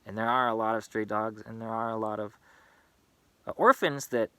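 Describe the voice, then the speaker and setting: A man's voice: on the low side, fairly even intonation, normal speed, bright, forceful tone, clean tone. A man who grew up in East Africa, talking in an earnest, impassioned, unscripted monologue to his own channel. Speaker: vlogger.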